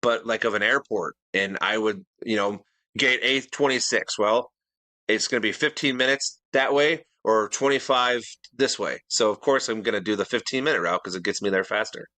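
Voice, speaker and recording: A man's voice: fairly low pitch, normal speed, sharp tone, no echo. A middle-aged man co-hosting a casual podcast chat, earnest and detail-minded, often restarting his sentences. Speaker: podcast co-host.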